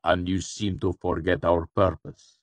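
A man voicing a hooded dark lord in a fantasy story, cool and soft, speaking with patient, polite menace. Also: low voice, breathy edge, no room sound, exaggerated voice. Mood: cool, sharpening. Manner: calm, polite, deliberate menace.

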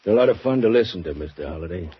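Sarcastic cheap-hotel clerk, husky, low, sly, muffled old radio. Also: male voice, animated, racing, full character voice. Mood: mocking. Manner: sardonic, needling. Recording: dull old radio broadcast recording.

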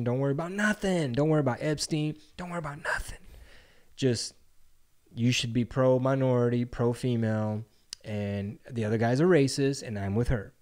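A man's voice: medium pitch, darkish tone. A man in his thirties hosting a podcast, talking casually and profanely, acting out quotes with jokes. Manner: profane, joking, acts out quotes. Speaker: podcast host. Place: home studio with brick wall. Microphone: studio mic on boom arm, headphones.

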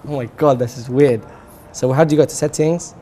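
A young male host trading easygoing banter in a studio, laughing often. His voice sits at an ordinary pitch with a faint hiss under it. He is recorded close on a lapel mic. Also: fairly quick, warm tone. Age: young adult. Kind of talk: playful studio chat game. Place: studio set with lounge chairs.

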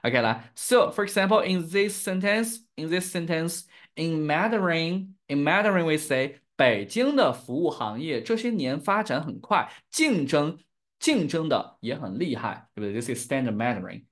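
A man's voice: a little high, animated, moderate pace, a bit bright. A young man from Chengdu with a Chinese accent, teaching Mandarin on a live stream and switching between English and Chinese. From Chengdu, Sichuan, China. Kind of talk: live-streamed language lesson.

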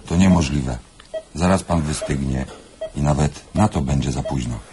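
An actor playing a pedantic, matter-of-fact surgeon in a radio drama. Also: male voice, a bass voice, moderate pace, background noise. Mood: incredulous.

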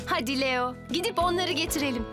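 Woman as a very high, cheerful cartoon builder. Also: a little fast, over music, close to an everyday voice. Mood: explaining, brisk. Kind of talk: dubbed children's cartoon acting.

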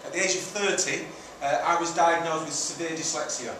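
A middle-aged man with a fairly high voice speaks informally to a meeting. He uses short emphatic phrases and rhetorical questions. The sound is heavily echoey, caught by a distant mic in a community centre room. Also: fairly even intonation, a little fast, background noise. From Manchester, England, and Italy. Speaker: charity founder giving a presentation. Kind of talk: informal public presentation. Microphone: distant camera at the back of room.

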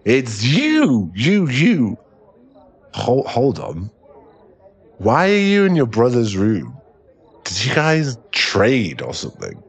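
A man's voice: medium pitch, wildly swooping pitch, breathy edge, heavily acted. A man acting a shy, stammering young boyfriend in an ASMR roleplay audio drama. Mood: tipsy, playful. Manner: halting, stammering, repeats words. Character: young male millionaire boyfriend, shy, later tipsy and clingy.

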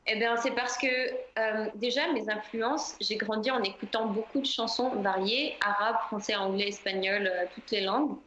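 A woman singer answering interview questions in a relaxed, chatty way, with many fillers. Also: medium pitch, moderate pace, few pauses, echoey room, lo-fi sound, slight background noise. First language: French.

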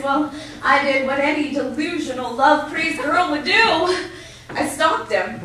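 A young woman giving a playful, animated comic storytelling speech at a contest, using a handheld mic. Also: sits high, slight background noise. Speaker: contest speaker. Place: large hotel ballroom. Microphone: handheld mic, far camera. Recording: echoey hall from far off.

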